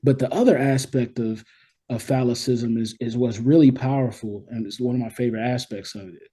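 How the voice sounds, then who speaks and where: A man's voice: mid-range voice, fairly even intonation, a little fast, mellow, breathy edge. A man talking through ideas as an interview guest in an emphatic, conversational way, often checking that the listener follows. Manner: emphatic, checks in with "right?" and "you know what I mean?". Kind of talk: conversational explanation of social theory.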